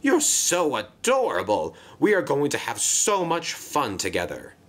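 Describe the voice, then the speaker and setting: A man voicing a chatty, naive, excitable female engine in a children's train story, gushing and easily flustered. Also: sits high, unhurried, slight background noise, pitched above the performer's own voice, heavily acted. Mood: gushing, excited.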